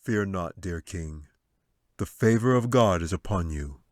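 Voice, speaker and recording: A man as a low, solemn angel. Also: animated, unhurried, a little mellow, dry recording, studio quality. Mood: reassuring. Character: male guardian angel, calm, solemn and kindly.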